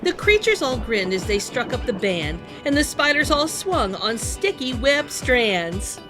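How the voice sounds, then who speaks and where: Slow, very animated woman storyteller over music. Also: on the high side. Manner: animated, sing-song storytelling. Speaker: storytime reader for children. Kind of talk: expressive children's picture book read-aloud. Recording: music playing behind the voice.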